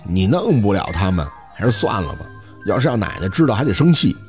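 Male narrator as meek, put-upon young schoolkid, plaintive and hesitant, swinging pitch, audiobook over light music. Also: sing-song, fast. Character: timid, aggrieved younger schoolchild, bullied and hesitant.

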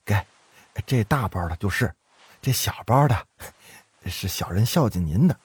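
Lowly male servant for storytelling audio, played by a man: fawning, stuttering, flustered, animated intonation. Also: a little slow, breathy edge, no room sound, exaggerated voice. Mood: ingratiating. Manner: stammering, obsequious.

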